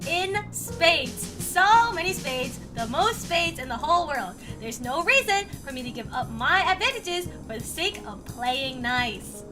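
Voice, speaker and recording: A woman voicing an arrogant, insulting male cat politician from a story, with pompous, drawn-out boasting. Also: very high voice, animated. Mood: smug boasting. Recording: music behind the voice.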